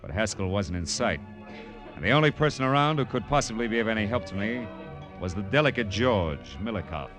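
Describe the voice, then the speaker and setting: A tough, wisecracking American detective played by a male radio actor, low in pitch and clipped in delivery. He sounds brusque and world-weary, in classic noir radio style. It is a vintage broadcast with clear music behind him. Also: animated, fairly quick, lightly acted. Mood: brooding narration. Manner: clipped, hard-boiled, slangy. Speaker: actor playing detective and narrator. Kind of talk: 1940s-50s radio noir acting and first-person narration.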